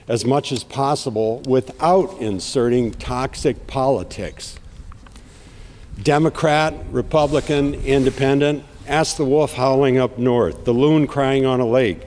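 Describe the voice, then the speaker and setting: A middle-aged man with a raspy voice giving an earnest speech at a rally in a rhythmic, declamatory way. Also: mid-range voice, fairly even intonation, measured pace, a little mellow, big-room sound, a little hiss or hum. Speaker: outdoor TV host giving a speech.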